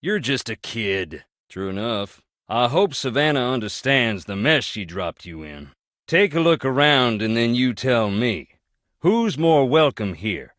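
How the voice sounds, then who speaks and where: Slow-talking, wary, sardonic man. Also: mid-range voice, very animated, fairly bright tone, no echo, studio quality. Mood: wary, wry. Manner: laconic, blunt. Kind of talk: video game voice acting.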